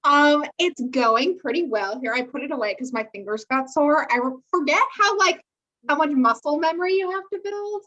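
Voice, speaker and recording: A woman's voice: high-pitched, lively intonation, normal speed, fairly bright tone, muffled recording. A young American woman chatting casually as a podcast co-host, with a wry, joking and sweary manner. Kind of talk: casual chat.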